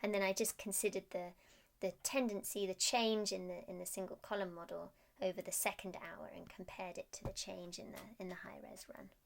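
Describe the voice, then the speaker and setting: A woman's voice: medium pitch, steady tone, a little fast, vocal fry. A woman presenting research as a scientist, explaining technical slides in a hesitant, stop-start way.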